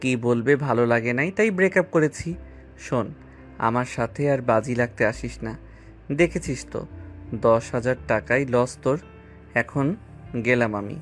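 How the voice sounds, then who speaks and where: Man acting young student boyfriend, casual, cocky, middle-pitched, audio romance drama, light music behind. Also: measured pace, long pauses, close to an everyday voice. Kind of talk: acted dialogue in an amateur audio love story. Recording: voice over background music.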